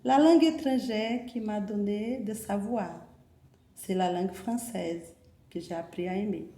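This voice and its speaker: A Brazilian woman recites a poem in French, with a soft, mellow, dark tone and a slow, deliberate delivery. Her Brazilian accent colors each line, and the room around her is echoey. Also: medium pitch. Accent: Brazilian Portuguese. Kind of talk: poetry reading.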